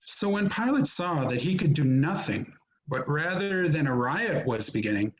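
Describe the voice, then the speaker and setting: A man narrating a scripture drama as an amateur reader, solemn and measured, in short phrases, recorded over a video call. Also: fairly high pitch, lively intonation, rough recording.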